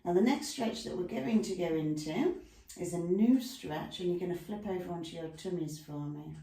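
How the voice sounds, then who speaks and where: Mellow-voiced woman coaching stretches. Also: fairly low pitch, normal speed. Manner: chatty, talks through the stretches with asides. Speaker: stretching class instructor. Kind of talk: guided exercise instruction. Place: room at home with a dog nearby. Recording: echoey room, mic far off.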